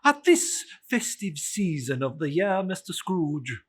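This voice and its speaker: A man voicing a portly, jovial gentleman collecting for charity, hesitant and effusively polite, in a storybook reading. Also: fairly high pitch, very animated, takes it slowly, husky, exaggerated voice. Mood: earnest, flustered.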